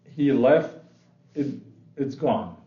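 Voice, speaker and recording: A man's voice: mid-range voice, animated, very slow. A man who grew up in Sweden, role-playing a business client who describes his company's problems, often repeating words as he goes. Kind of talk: role-play sales meeting. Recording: dull sound in an echoey room.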